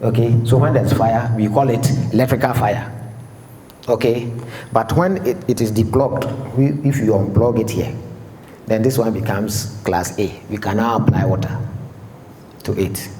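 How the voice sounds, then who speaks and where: A man's voice: on the low side, normal speed, dark tone. A man giving a fire safety seminar, explaining step by step and checking that the audience understands. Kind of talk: seminar lecture. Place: large lecture room. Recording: echoey hall with faint background noise.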